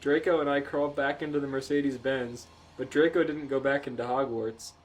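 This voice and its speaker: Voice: medium pitch, steady tone, fairly quick, a little hiss or hum, poor audio. A podcast host reading fan fiction aloud in a casual, comedic, irreverent and sweary way, prone to giggling.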